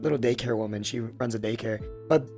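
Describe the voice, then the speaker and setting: A man in his thirties with a raspy voice, chatting casually and in a rambling, halting way as a podcast host. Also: medium pitch, darkish tone, faint music behind. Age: 30s.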